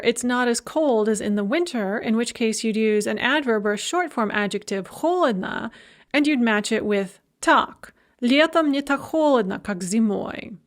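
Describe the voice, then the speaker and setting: Higher-voiced woman giving a Russian lecture. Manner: clear and measured, slows down for Russian examples. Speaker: university Russian language lecturer. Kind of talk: recorded language lecture.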